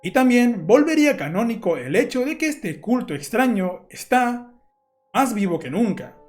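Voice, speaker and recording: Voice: mid-range voice, big pitch swings, normal speed, a little mellow, soft background music. A narrator, presenting a scripted video essay in an explanatory storytelling way. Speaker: host and narrator of a horror analysis channel.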